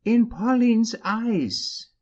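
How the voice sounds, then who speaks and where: A man playing a small, gentle priest in an audiobook drama, speaking gravely and earnestly with quiet insistence. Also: very high voice, animated, highs cut off, exaggerated voice. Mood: urgent, imploring. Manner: slow, solemn, earnest.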